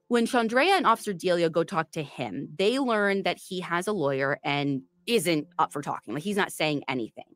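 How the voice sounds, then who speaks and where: A woman's voice: on the low side, no echo. A woman hosting a true crime podcast, retelling a case in a chatty, storytelling way. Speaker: podcast host.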